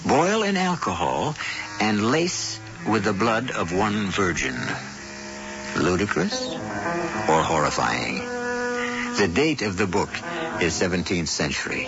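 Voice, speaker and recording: A man hosting and narrating a radio mystery show in a grave, theatrical, teasing way. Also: deep voice. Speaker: host narrator. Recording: dull old radio broadcast with loud music.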